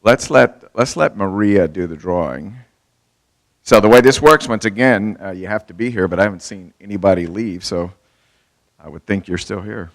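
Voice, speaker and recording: A man moderating a conference panel, joking and moving things along quickly. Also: on the low side. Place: conference hall.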